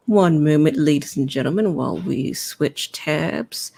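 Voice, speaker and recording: A woman's voice: sits low, a little slow, vocal fry, mellow. Upbeat woman hosting a chat. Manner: upbeat, brief interjections. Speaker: podcast host. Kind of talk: casual chat.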